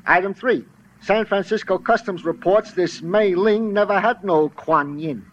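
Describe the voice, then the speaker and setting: An eager police sergeant in a very high male voice. He is chatty, earnest and working-class, a comic sidekick of 1940s radio. The old recording is muffled and slightly noisy. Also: measured pace.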